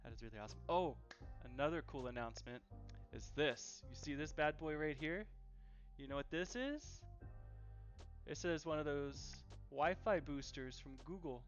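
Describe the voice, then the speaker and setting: A man's voice: fairly high pitch, moderate pace, faint music behind. A man hosting a casual live cooking stream from his home kitchen, chatting in an easygoing way and repeating phrases for emphasis. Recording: dull, lo-fi livestream audio.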